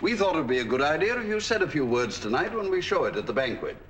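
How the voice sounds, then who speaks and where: Eager company lawyer, ingratiating, lively higher voice, old film sound. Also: male voice, quick pace, slight background noise. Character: eager male corporate lawyer.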